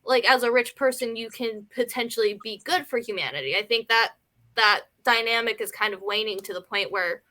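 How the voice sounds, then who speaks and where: A woman's voice: a little high, fairly even intonation, a little fast, pushed and bright. A woman in a casual film discussion, talking in a hedging way peppered with "like" and "you know". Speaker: film review panelist.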